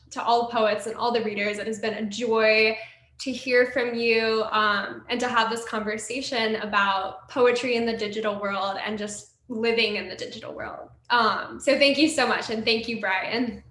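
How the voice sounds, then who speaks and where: A woman moderating an online poetry panel, posing a question and then thanking the poets and readers warmly. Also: on the high side, fairly even intonation, unhurried. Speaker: event organizer and moderator. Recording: echoey room sound.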